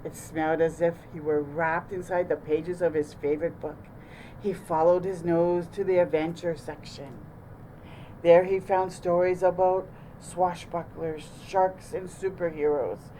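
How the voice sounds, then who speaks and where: Slow, mellow woman reading a picture book. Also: on the low side, slight background noise, low-quality recording. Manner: expressive, deliberate. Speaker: storytime host reading aloud. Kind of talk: children's picture book narration.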